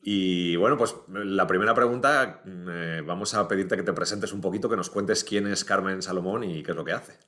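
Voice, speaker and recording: Co-host interviewer: man, very low pitch, informal and conversational. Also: moderate pace, few pauses, pushed and bright.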